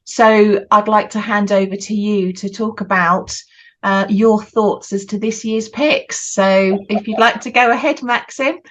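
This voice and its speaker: A woman's voice: mid-range voice, steady tone, a little slow, a bit bright, a little hiss or hum, low-quality recording. A woman interviewing a guest over a video call, encouraging him with short affirmations. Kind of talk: conversational interview.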